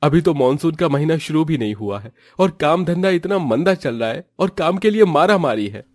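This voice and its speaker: A man's voice: on the high side, animated, moderate pace, a little mellow, dry recording, clean studio sound. A man voicing a poor, hard-working, proud husband in a cartoon family drama, earnest and aggrieved. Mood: defeated.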